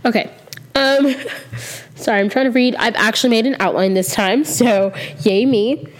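A woman's voice: fairly high pitch, normal speed. A woman in her twenties hosting her own podcast alone, slightly sick and a little nasal, rambling and candid with lots of ums, sitting close to the mic. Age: 20s. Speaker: podcast host. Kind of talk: casual solo podcast chat. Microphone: close to the microphone.

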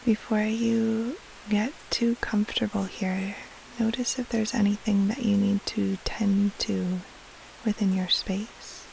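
A woman guiding a sleep meditation in a soft, breathy half-whisper, soothing, with long pauses between short phrases. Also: mid-range voice, level tone, rough recording. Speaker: meditation guide, podcast host. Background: soft rain-like hiss.

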